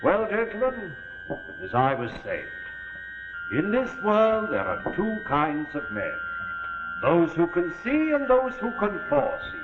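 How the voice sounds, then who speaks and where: Through a thin, tinny old TV soundtrack with prominent music comes a self-satisfied criminal ringleader. He speechifies and gives orders with a lively, swinging pitch in the style of 1940s suspense drama. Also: measured pace, full character voice. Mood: pompous. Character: smug, commanding gang leader who boasts of foresight. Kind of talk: 1940s live television suspense drama acting. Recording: tinny, band-limited 1949 TV soundtrack, loud music.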